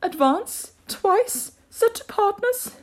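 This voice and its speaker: A woman voicing an excitable, tearful sea turtle creature from a storybook, in an exclamatory, effusive manner. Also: very high voice, slow, pitched above the performer's own voice, full character voice. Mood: excited. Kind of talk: storybook character voice.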